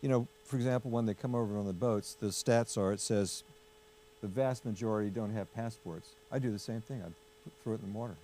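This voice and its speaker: A man's voice: on the low side, fairly quick, mellow, slight background noise, rough recording. A man hosting a casual political discussion, chatty and full of fillers, steering with questions. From United States. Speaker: host.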